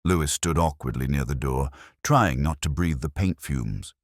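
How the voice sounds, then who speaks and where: A man voicing a wry, literary middle-aged male detective, narrating a mystery in a measured, reflective first person. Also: very deep voice, no room sound, clean studio sound. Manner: measured, reflective, quietly observant.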